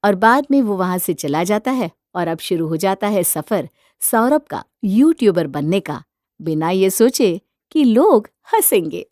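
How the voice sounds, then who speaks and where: A woman's voice: mid-range voice, animated, a little slow, a little mellow, dry recording, studio quality. A woman narrating a scripted story.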